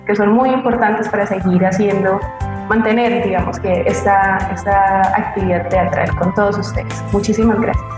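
Female co-host, medium pitch, heavily reverberant sound, unscripted address to audience. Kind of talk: spontaneous sign-off to audience. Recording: very echoey room, music underneath.

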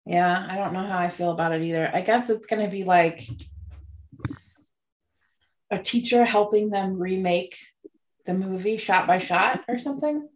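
A woman co-hosting a podcast in casual chat, relaxed, with short replies. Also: medium pitch, normal speed, long pauses, slight background noise. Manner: relaxed, brief replies, wraps up the show. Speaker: podcast co-host.

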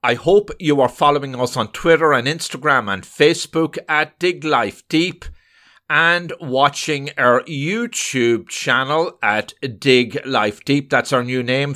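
A man hosting a podcast, reading a scripted introduction in a measured way. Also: medium pitch, pushed and bright. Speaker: podcast host.

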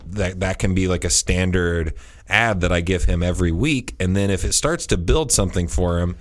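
A man's voice: low voice, moderate pace. A thirty-year-old man, a podcast host, talking in a meandering, casual way with many restarts. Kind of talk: casual chat.

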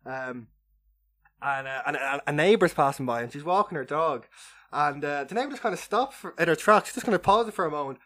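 A young Irish man co-hosting a chatty podcast, telling stories loudly and with animation. He rattles along at a quick clip and often stumbles over repeated words. His voice sits a little high for a man. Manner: loud, animated storyteller, many repeated words. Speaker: podcast co-host. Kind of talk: casual chat.

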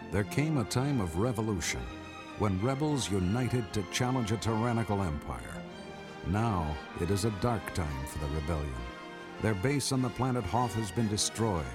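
Low-voiced male radio drama narrator, music behind. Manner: measured, solemn. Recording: music under the voice.

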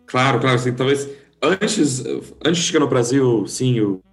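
A man's voice: mid-range voice, normal speed, faint music behind. A man in his thirties with a German accent, a guest speaking Portuguese as a second language, talking hesitantly with restarts and fillers. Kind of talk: conversational interview.

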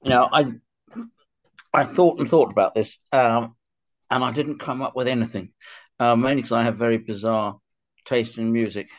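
A man's voice: medium pitch, steady tone, normal speed, long pauses. Older guy guesting on a podcast, stammery and apologetic, kinda muffled lo-fi sound. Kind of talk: casual chat.

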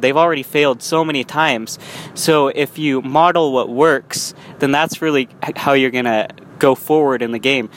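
Young man, casual vlog from behind the wheel, rambling, light traffic hum. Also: mid-range voice. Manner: rambling with frequent restarts and small laughs. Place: in a car while driving. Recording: faint road noise.

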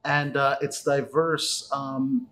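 A man's voice: medium pitch, little pitch movement, takes it slowly, fairly bright tone, rough recording. A man in his thirties with a Canadian accent, speaking casually and agreeably as an enthusiastic interview guest. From Toronto, Canada. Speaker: interview guest, auto detailing business owner.

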